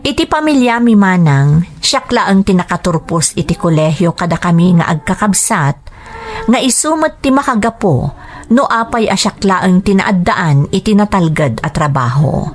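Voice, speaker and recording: A woman's voice: lowish voice, very animated, moderate pace, a little hiss or hum. A woman with an Ilocano accent reading greetings and letters as a scripted drama narrator. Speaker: narrator reading listener shout-outs and letters.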